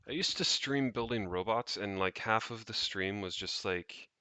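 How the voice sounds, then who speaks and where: A man's voice: on the low side, normal speed, pushed and bright, no echo. A man chatting off the cuff on a livestream, rambling and thinking aloud with casual swearing as he tinkers. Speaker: streamer, tech hobbyist. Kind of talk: casual unscripted livestream chat while tinkering. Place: indoors, rented home.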